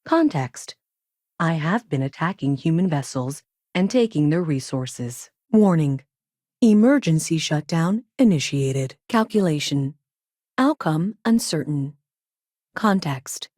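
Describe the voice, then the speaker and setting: Game role: self-aware probe AI. Low female voice, very dry sound, robotic and literal, clipped labelled statements, earnest and logical. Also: animated, slow, darkish tone, dry recording, heavily acted. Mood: detached, logical. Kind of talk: scripted video game dialogue.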